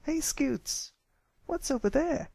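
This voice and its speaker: A man's voice: very high-pitched, expressive, takes it slowly, creaky, mellow, dry recording, voice pushed up, full character voice. A man voicing an eager, friendly young filly pony who talks with enthusiastic, persuasive manner in a cartoon fan story. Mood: curious.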